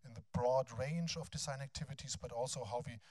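A man in his fifties with a German accent gives a lecture in a large bright hall on a handheld mic, hesitating often with ums and restarts. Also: mid-range voice, clean studio sound. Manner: halting, frequent ums and restarts. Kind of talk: academic lecture, then reading a text aloud.

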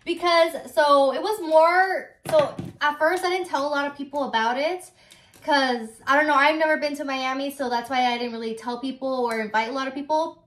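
A woman's voice: high-pitched, fairly even intonation, moderate pace, fairly bright tone. A woman in her twenties chatting casually on a beauty vlog, filled with "like", recorded on a phone in a bedroom. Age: 20s. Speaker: YouTuber. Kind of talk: casual chat. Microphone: phone camera. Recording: echoey room.

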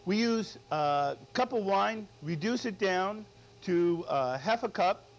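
Middle aged guy chef explaining stuff in a kitchen, slightly high voice, bit muffled lo-fi sound. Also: lively intonation, takes it slowly. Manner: frequent tag questions "all right?". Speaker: chef, cooking instructor. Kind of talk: cooking demonstration, unscripted instruction. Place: studio kitchen. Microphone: lapel mic. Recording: dull lo-fi audio, background noise.

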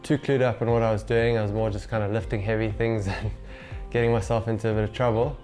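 Low, level-voiced young man over music. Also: level tone, fast, a little mellow. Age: 20s. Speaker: professional golfer being interviewed. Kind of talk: casual interview talk to camera. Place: small home gym room, indoors. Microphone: lapel. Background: background music.

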